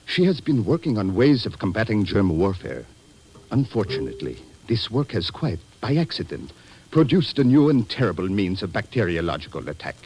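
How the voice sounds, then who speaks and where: An actor playing a grave, courteous government doctor, speaking formally and solemnly in an old radio drama. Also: male voice, fairly low pitch, sing-song, normal speed, a little mellow, a little hiss or hum, low-quality recording. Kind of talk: 1940s radio acting.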